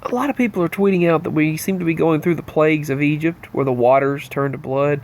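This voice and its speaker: A man's voice: on the high side, fast talker, a little mellow. Stuffy-nosed man rambling through the news. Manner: earnest, loose and rambling. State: stuffy nose from allergies. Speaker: channel host. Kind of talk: casual news-roundup monologue.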